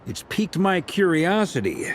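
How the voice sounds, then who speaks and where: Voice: mid-range voice, very animated, normal speed, slight background noise, close to an everyday voice. An actor voicing a curious, easygoing young traveler in a video game, talking casually and inquisitively. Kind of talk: English video game voice acting.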